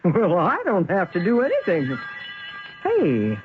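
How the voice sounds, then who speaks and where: An actress playing a shrewd, wealthy older widow in a theatrical, teasing radio drama style. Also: female voice, fairly low pitch, huge pitch swings, slow pace, faint music behind, heavily acted. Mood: knowing, confident. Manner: theatrical, teasing, folksy exclamations. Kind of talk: 1970s radio drama acting. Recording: tinny, noisy old radio recording.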